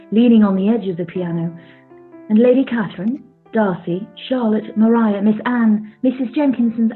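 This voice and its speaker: A woman's voice: mid-range voice, lightly acted. A woman narrating a scripted period radio play as a neutral storyteller, on a tinny recording.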